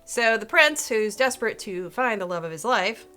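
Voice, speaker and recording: A woman hosting a video and telling a story casually and comedically, in an animated, sarcastic manner with playful asides and self-interruptions. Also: a little high, normal speed, soft background music.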